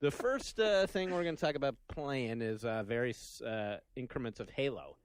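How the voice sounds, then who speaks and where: A man co-hosting a podcast, slightly nasal, self-deprecating and quick to laugh. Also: medium pitch, lively intonation, clean tone, no echo. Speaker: podcast co-host. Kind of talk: casual chat.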